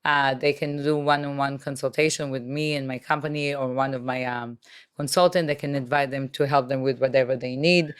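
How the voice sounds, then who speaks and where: A woman from Israel with an Israeli accent, a guest entrepreneur talking in an energetic, promotional way in a casual interview. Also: rumbling low, brisk, smooth.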